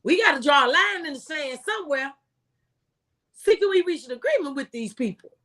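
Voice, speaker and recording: A woman's voice: sits high, lively intonation, brisk, fairly bright tone. An American woman speaking as a former tax agent, giving folksy, emphatic advice and repeating phrases for stress. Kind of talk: impassioned conversational tax advice.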